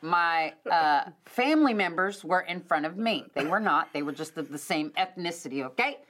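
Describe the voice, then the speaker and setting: A middle-aged woman hosting a podcast, telling a story in an animated, casual way and addressing listeners directly. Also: lowish voice, normal speed. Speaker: podcast host.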